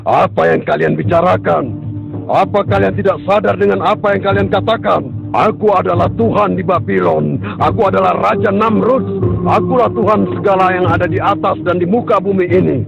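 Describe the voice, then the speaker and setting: A tyrannical cartoon king, voiced by a man, with a gravelly, high-pitched voice. He speaks in a boastful, commanding way. The track is dull and lo-fi, with music under it. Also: moderate pace. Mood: outraged. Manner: boastful, commanding, ends in shouting. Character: tyrannical arrogant male king, self-proclaimed god. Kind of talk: cartoon dubbing.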